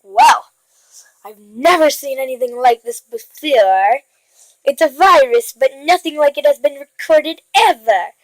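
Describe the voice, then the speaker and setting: Woman putting on a high-voiced doctor with affected accent. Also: lively intonation, measured pace, dry recording, rough recording. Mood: explaining. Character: doctor gravely explaining a strange virus.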